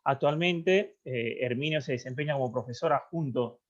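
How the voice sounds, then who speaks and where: A man opens an online academic session as moderator. He speaks haltingly, leaning on fillers between phrases. The call audio sounds dull and muffled. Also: mid-range voice. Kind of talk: formal event introduction. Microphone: video call.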